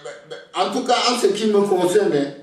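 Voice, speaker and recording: Old togolese guy, ewe native, talks slow and stumbly, kinda high voice, sounds far from the mic. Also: lively intonation, crowd noise. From Togo. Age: older. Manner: slow, hesitant, repeats words when starting sentences. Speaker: interviewee, elder. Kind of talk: slow reflective storytelling. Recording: very echoey room, voice off-mic.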